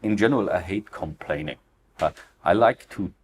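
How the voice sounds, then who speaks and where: Deep-voiced Austrian man, earnest. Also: normal speed, a little hiss or hum. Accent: Austrian German. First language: German. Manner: earnest, fillers, non-native phrasing. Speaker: crypto ecosystem founder, guest. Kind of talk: interview answers.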